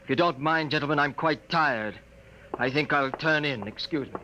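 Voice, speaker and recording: A man's voice: on the high side, moderate pace, lightly acted. An actor playing a skeptical, reserved spaceship crewman in a 1950s radio drama, speaking stiffly and formally. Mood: reluctant, tired. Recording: noisy, clicking vintage radio recording.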